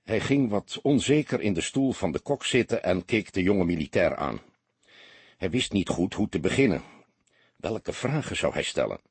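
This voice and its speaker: A man's voice: low-pitched, lively intonation, moderate pace, slightly rough, no room sound. A man reading a crime story aloud as an audiobook narrator, in a dramatic scripted style.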